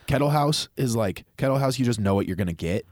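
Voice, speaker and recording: A man's voice: medium pitch, animated, quick pace, darkish tone, no room sound, clean studio sound. A man co-hosting a podcast, rambling through stories and jokes in a self-deprecating way. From Montana, US. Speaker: podcast co-host. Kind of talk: casual podcast chat.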